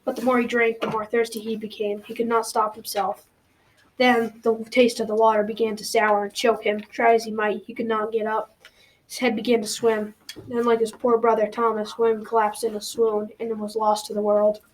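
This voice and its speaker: A storytelling grandparent in a school radio play, acted by a young female student. The voice is youthful and fairly high, reading the folktale in a measured way. Faint noise runs behind it. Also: level tone, natural voice, poor audio. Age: child or teen. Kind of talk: scripted storytelling, amateur radio drama.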